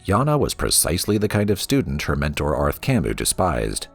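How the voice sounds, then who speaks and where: A man reading a story aloud as a storybook narrator, with a theatrical, expressive delivery. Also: rumbling low, fairly quick, faint music behind. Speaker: lore reader for a gaming let's play.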